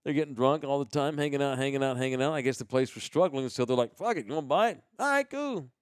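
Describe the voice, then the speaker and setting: An American man hosting a podcast with casual banter and sponsor shout-outs, talking in a slangy, rambling, profane way. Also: medium pitch, animated, fast talker, smooth, no echo, clean studio sound. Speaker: host of a live podcast.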